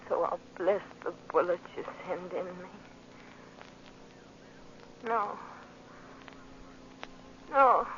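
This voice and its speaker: Desperate, high-voiced vengeful woman. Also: pushed and bright, slight background noise, full character voice. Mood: terrified, pleading. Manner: halting, intense, melodramatic delivery. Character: desperate, vengeful woman luring a man to die. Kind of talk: 1940s radio drama acting. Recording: muffled old radio recording with music.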